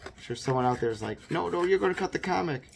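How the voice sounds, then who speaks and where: Hoarse man rambling after a cold. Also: a little high, expressive, quick pace, slight background noise. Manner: rambling, many fillers and restarts. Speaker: vlogger. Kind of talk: casual unboxing chat.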